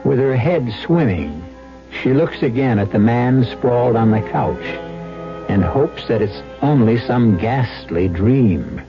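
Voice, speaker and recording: A man hosting a radio mystery, reading scripted narration in an ominous, deliberate, dramatic manner. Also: medium pitch, expressive. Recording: dull radio recording with music behind.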